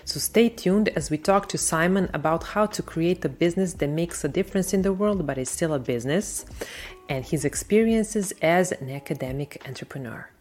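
A woman hosts a podcast, opening with a scripted introduction before turning to her guest. Her delivery is steady and read aloud, in a notably low voice for a woman. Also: normal speed, faint music behind. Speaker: podcast host. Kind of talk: scripted introduction and interview questions.

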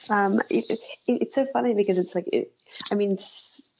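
A woman speaking as an interview guest, hesitant and halting as she thinks aloud in casual conversation. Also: medium pitch, a little mellow, muffled recording, a little hiss or hum. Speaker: interview guest, writer and author.

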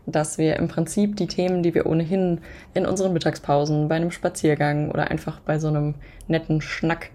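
A woman's voice: deep voice, flat delivery, a little fast, warm tone. A woman of twenty-five, a podcast guest in a casual interview, speaking reflectively in long winding sentences.

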